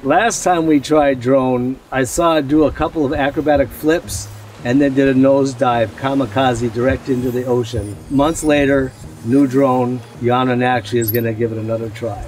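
A man's voice: medium pitch, steady tone, moderate pace, darkish tone, slight background noise, poor audio. An older man co-hosting a sailing vlog, talking casually and teasingly to a camera held at arm's length on a catamaran at sea. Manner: playful, teasing. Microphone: handheld camera at arm's length.

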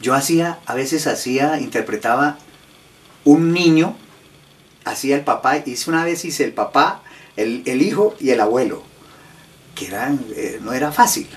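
Animated older man in interview. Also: medium pitch, normal speed. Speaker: radio actor being interviewed. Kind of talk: casual explanatory interview talk. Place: living room. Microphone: handheld microphone. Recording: slightly distant, faint room noise.

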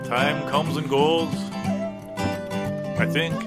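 A man playing an anxious, lovelorn suitor, rambling plaintively in halting phrases in an improvised comic monologue. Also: fairly high pitch, steady tone. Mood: plaintive, indecisive. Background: guitar music.

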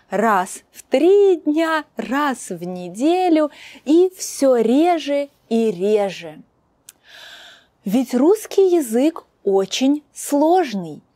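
Young female host, slow bright delivery, lively pitch, dry close sound. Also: no echo. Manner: lively, upbeat, carefully articulated. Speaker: host and Russian teacher. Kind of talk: slow, clear teaching talk to camera. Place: outdoor garden.

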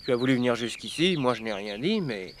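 Man doing a blunt impatient nephew, quick talker, radio play. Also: medium pitch, animated, a little hiss or hum, natural voice. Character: Jack, detective's young nephew, impatient and blunt. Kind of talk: old French radio drama acting.

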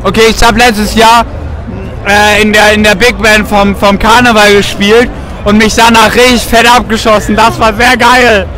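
A man's voice: high-pitched, level tone, moderate pace, sharp tone, some chatter behind, low-quality recording. A young man in his twenties answering a street interview hesitantly, with lots of filler sounds. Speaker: passerby. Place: outdoors on a city street.

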